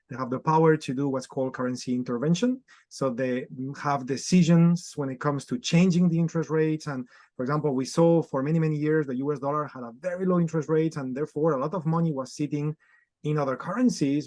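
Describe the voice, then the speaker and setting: A man speaks as a guest trader over a video call, laying out his points step by step. He fills pauses with "uh" and restarts often. His voice sits mid-range, recorded dry and close. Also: medium pitch, dry recording. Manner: explanatory, frequent fillers and restarts. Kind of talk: podcast interview.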